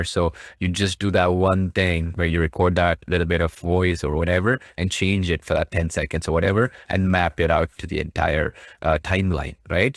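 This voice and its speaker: A man casually narrating a software tutorial, explaining step by step with repetition and frequent check-in questions. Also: low-pitched, no room sound, clean studio sound. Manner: repetitive, explanatory, often checking with "right?" and "okay?". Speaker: tutorial presenter.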